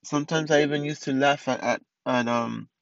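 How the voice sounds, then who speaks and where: A man's voice: medium pitch, steady tone, brisk, creaky, no room sound, lo-fi sound. A man in his twenties hosting a casual solo podcast, rambling with many restarts and fillers. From East Los Angeles. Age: 20s. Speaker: podcast host.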